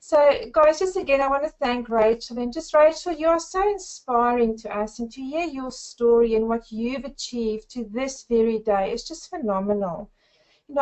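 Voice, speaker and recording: A woman in her forties from South Africa with a South African accent, giving an effusive, upbeat sales pitch on a group call. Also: a little mellow, rough recording. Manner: effusive, upbeat, addresses listeners as friends. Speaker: network marketing presenter.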